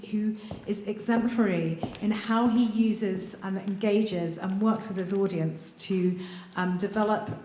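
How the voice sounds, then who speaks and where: A woman's voice: mid-range voice, darkish tone, slight background noise. A woman moderating a panel, introducing the next speaker with many ums. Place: large event room. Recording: roomy hall with faint ambience.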